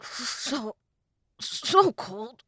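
A young adventurer voiced by a woman speaks through shivers in a bright, tense voice. He is weary and a little sarcastic, stammering in a fantasy storybook tale. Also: on the high side, very animated, very slow, husky, dry recording, clean studio sound, voice pushed up, heavily acted. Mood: shivering misery.

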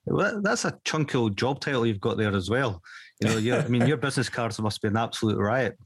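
A man's voice: medium pitch, lively intonation, fairly quick, slightly rough, no echo. A male interviewer asking questions in a chatty, joking way. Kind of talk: conversational interview.

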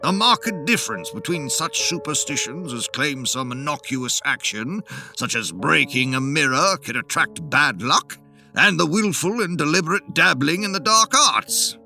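A grave, careful Victorian gentleman, read by a male narrator who weighs every word of his objection. His voice is lively in pitch yet measured in pacing, with a bright edge. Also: creaky, faint music behind, heavily acted. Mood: wary. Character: cautious, wary Victorian gentleman, club member.

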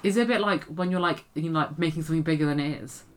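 A young woman from England in casual chat, co-hosting, chatty and animated as she guesses. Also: deep voice, racing. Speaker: co-host.

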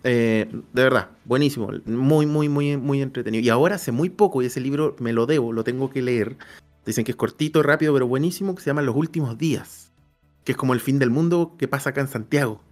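A Chilean man with a medium-pitched voice chats casually as a co-host. He is excitable and doubles up words for emphasis, with light music underneath. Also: fast. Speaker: co-host of a role-playing live stream. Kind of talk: casual chat. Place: online video call. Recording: music playing behind the voice.

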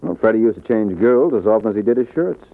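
An American actor playing a wisecracking, sardonic private detective in 1940s radio style. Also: male voice, fairly low pitch, expressive, quick pace. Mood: smooth, probing. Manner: sardonic, slangy. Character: wisecracking, cool-headed male private detective. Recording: tinny band-limited old radio broadcast.

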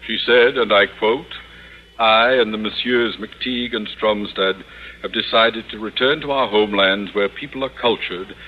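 An actor as a low-voiced, pompous principal on noisy old radio. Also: male voice, steady tone, moderate pace, lo-fi sound, lightly acted. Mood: doleful. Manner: pompous, theatrically doleful. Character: pompous, blustering middle-aged male high school principal. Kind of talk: 1940s-50s radio sitcom acting.

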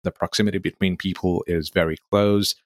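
A young man from Nepal, hosting an interview in a relaxed, chatty way with lots of "um" and "like". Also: low voice, fairly quick, dry recording, clean studio sound. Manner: relaxed, chatty, many fillers like "um" and "like". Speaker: podcast host. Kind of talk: casual interview conversation.

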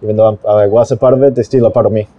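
Man from Venezuela, low voice, hesitant, dull distant outdoor recording. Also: fairly even intonation, rapid-fire. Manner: hesitant, thoughtful. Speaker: interviewee. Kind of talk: casual street interview. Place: outdoor fair in a park.

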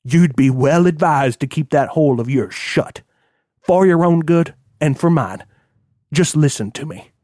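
A man's voice: fairly high pitch, animated, a little slow, warm tone, no room sound, clean studio sound. Man acting a cruel, bitter old rich father. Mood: barking, menacing. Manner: stern, scolding, folksy. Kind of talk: audiobook character acting.

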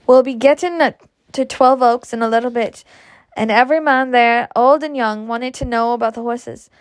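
A woman voicing a blustering, coaxing gentleman planter in a storybook, hearty and wheedling. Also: fairly high pitch, fairly even intonation, normal speed, dry recording, close to an everyday voice. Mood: coaxing, goading.

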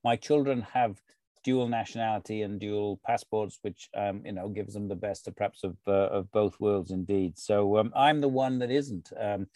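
A man's voice: on the low side, steady tone, moderate pace, darkish tone, dry recording. Dry-sounding man hosting. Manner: genial, with fillers. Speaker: podcast host. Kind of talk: conversational interview.

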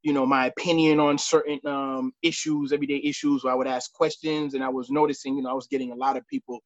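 A man's voice: medium pitch, flat delivery, dry recording, dull audio. A man in his late thirties from the United States, chatting casually as an interview guest and often repeating his words. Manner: halting, repeats words and phrases. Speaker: podcast guest, sports referee.

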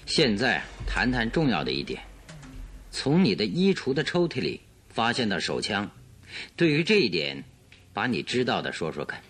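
Radio drama detective: low voice, lively intonation, shrewd, probing, authoritative. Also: male voice, normal speed, husky, heavily acted. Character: shrewd, composed male detective questioning a witness.

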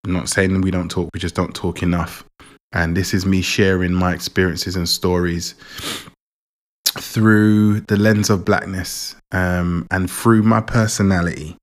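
A Jamaican-born man raised in England, hosting his own podcast in a relaxed, rambling way and often repeating words. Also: low voice, measured pace. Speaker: podcast host. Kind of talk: casual personal monologue.

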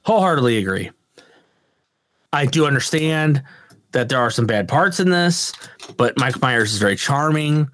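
A man's voice: medium pitch, animated, normal speed, pauses often, a bit bright, a little hiss or hum. A man co-hosting a casual movie podcast, rambling, swearing and laughing a lot. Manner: swearing, rambling, laughs often, breaks into quoted voices. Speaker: podcast co-host.

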